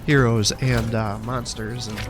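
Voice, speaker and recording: A man recovering from the flu, his voice congested, weak and cracking, giving relaxed gameplay commentary. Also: mid-range voice, a little slow. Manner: relaxed, explanatory, many fillers. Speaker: gaming channel host. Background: loud game music.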